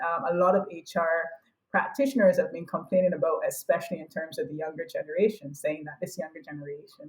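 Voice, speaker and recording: A woman's voice: fairly low pitch, darkish tone. A middle-aged woman from Barbados, explaining conversationally as an interview guest. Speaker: interview guest, academic and consultant. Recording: echoey room sound.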